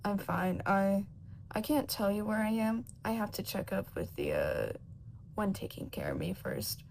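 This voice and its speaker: A woman voicing an exhausted injured man in a story, slow, halting and reassuring. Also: mid-range voice, very animated, gravelly, a little mellow, a little hiss or hum. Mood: hesitant, evasive.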